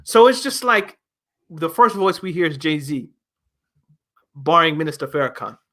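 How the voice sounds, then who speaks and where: A young man hosting a casual album review, talking in an animated, emphatic way with rhetorical questions. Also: a little high, a little slow. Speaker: music review host.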